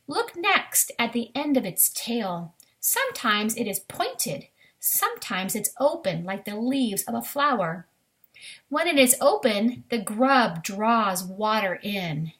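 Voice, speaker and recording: A woman with a fairly high voice reads a nature book aloud for children. She speaks to her young listeners directly, as a teacher would in a lesson. Now and then she adds a short aside of her own to the written text. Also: fairly bright tone.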